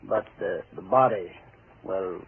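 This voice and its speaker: Somber detective sergeant, courteous and lower-pitched, narrow-band vintage radio mystery sound. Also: male voice, measured pace. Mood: somber, matter-of-fact. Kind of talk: 1940s radio drama acting. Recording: tinny old radio, faint noise.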